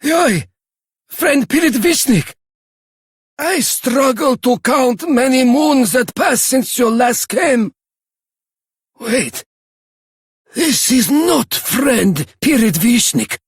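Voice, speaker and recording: Game elder: courteous, nostalgic grandfather, husky, animated intonation, broken English. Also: very high-pitched, no echo, studio quality, heavily acted. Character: kindly old village grandfather, nostalgic and courteous.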